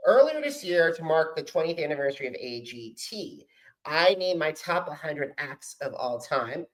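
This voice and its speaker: A man's voice: fairly high pitch, big pitch swings, moderate pace, poor audio. A man hosting a podcast interview, talking like an enthusiastic fan, in bursts with many restarts. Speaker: podcast host. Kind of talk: casual interview chat.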